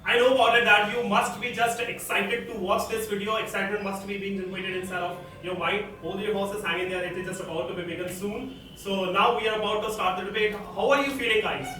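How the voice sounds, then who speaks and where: A man's voice: sits high, fairly even intonation, fairly quick, few pauses, sharp tone, a little hiss or hum. A man with an Indian English accent, a teacher moderating a student debate in a classroom with firm prompts to his students. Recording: echoey room, picked up from far off.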